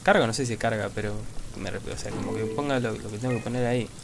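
A man's voice: lowish voice, fast, gravelly, noisy background. Rioplatense man thinking aloud over a game. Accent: Rioplatense Spanish. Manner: casual, swearing, thinking aloud. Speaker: gaming video host. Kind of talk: let's play commentary.

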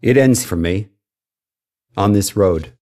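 A man's voice: fairly low pitch, takes it slowly. An actor playing a hapless, confused author near forty who wants to be a biker, confessing his story in an audio drama. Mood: fatalistic.

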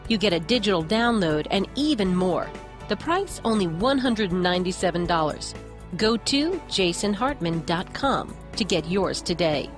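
Woman doing a show promo, really animated pitch, music behind her. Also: normal speed. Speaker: announcer. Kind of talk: scripted promotional voice-over.

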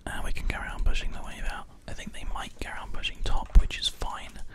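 A gaming host whispering ASMR gameplay commentary very close into a binaural microphone. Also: fast talker. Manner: hushed whispering throughout. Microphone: close binaural microphone, ear to ear.

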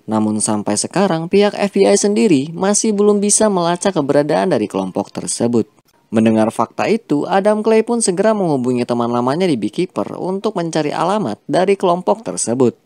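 A man reading a scripted film plot recap as a voice-over, with a steady storytelling delivery. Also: a little high, gravelly, no room sound. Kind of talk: scripted narration.

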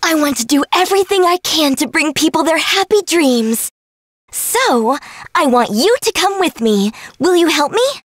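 Fantasy game fairy girl: high, lively, sweet and earnest, recorded dry. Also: normal speed, no room sound. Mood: earnest pleading. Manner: bubbly, earnest, affectionate. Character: sweet, cheerful young dream fairy girl. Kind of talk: English fantasy game voice acting.

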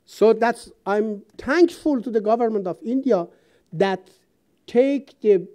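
Woman, high pitch, seminar speaker, emphatic, halting English, auditorium. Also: warm tone. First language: Persian. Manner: emphatic, halting non-native English, recites verse. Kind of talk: academic seminar speech.

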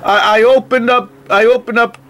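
A man on a phone call speaking in a halting, deliberate, literal way as he spells and reads aloud. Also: very high-pitched, fairly even intonation, a bit bright, a little hiss or hum. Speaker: phone call recipient stringing a caller along. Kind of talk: slow phone conversation, spelling and reading aloud.

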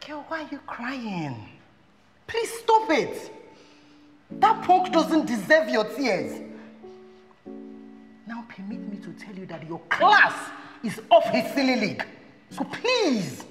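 A young woman with a Nigerian accent acting a loyal friend who scolds and switches to loud Pidgin. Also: fairly high pitch, wildly swooping pitch, normal speed, soft background music. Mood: comforting. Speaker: protective friend. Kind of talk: skit drama acting.

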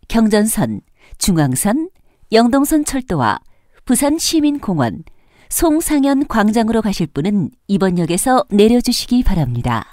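A woman's voice: fairly high pitch, a little mellow, no room sound, clean studio sound. A woman reading scripted subway station announcements in a formal, carefully enunciated manner. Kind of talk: scripted public transit announcement.

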